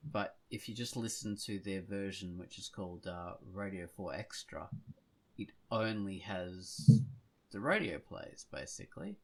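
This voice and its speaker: Low-voiced man chatting on a podcast. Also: animated, measured pace, a little mellow. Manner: rambling, thinking aloud. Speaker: podcast host. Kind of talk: casual podcast chat.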